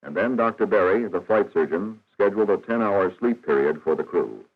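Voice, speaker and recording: Male documentary narrator, very deep and measured, 1960s educational style, narrow lo-fi vintage film sound. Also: animated, husky. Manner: formal, measured, matter-of-fact. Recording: dull, band-limited 1960s film soundtrack.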